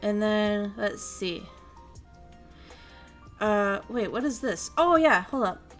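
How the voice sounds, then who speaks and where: A young woman chatting on her stream, telling stories with lots of animation and frequent laughter. Her voice sits at an ordinary pitch, and faint music plays underneath. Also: slow. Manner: animated storytelling, laughs often, reads chat. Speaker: VTuber streamer. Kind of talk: casual chat stream recap.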